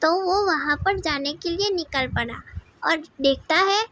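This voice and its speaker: A woman storyteller with a very high, childlike pitch narrates a children's cartoon tale. She reads slowly, and the recording has clear background noise. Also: pushed and bright.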